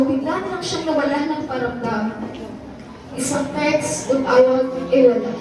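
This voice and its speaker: A woman's voice: sits high, close to an everyday voice. A woman playing a regretful wayward child, narrating a downfall in confessional radio drama style. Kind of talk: scripted first-person radio drama narration. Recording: very echoey, distant, music behind.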